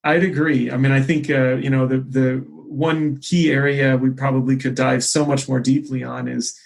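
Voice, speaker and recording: A man speaking as a podcast guest in a conversational business discussion, explaining ideas in measured, hesitant phrasing. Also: mid-range voice, level tone, gravelly, poor audio. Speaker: podcast guest, marketing executive.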